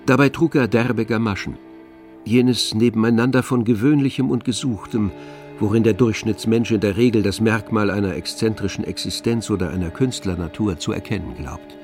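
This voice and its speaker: A man's voice: fairly low pitch, moderate pace, darkish tone, soft background music. A man narrating a radio drama adapted from a novel in a scripted literary style.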